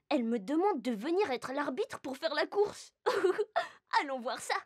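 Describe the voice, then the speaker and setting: A woman playing a cheerful, curious little girl in a children's cartoon, speaking in a friendly way straight to young viewers. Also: very high-pitched. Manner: bright, friendly, addresses young viewers directly. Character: Jasmin, cheerful curious little girl who travels to animals. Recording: music behind the voice.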